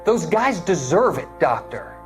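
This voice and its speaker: A man's voice: on the high side, sing-song, measured pace, over music. An actor playing a troubled, guilty young ex-serviceman in a video game, earnest and deferential, with an American accent. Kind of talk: video game dramatic acting.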